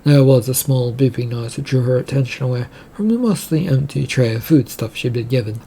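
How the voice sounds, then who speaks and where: A narrator reads serial fiction aloud in a husky voice with plenty of creak. The tone is mellow and sits at a middling pitch. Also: fairly quick.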